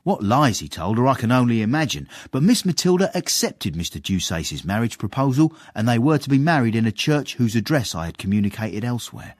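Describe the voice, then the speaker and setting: Sly, husky young servant with lively pitch. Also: male voice, brisk, hardly any pauses, no room sound, clean studio sound. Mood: wry, self-satisfied. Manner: wry, deferential with sly irony. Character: young male servant, cheeky, sly, self-satisfied storyteller. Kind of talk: radio drama acting and narration.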